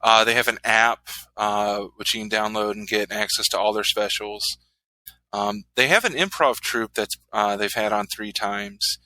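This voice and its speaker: A man in his thirties hosting a casual podcast interview, relaxed and rambling with fillers. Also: lowish voice, normal speed, no room sound, poor audio. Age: 30s. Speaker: podcast host.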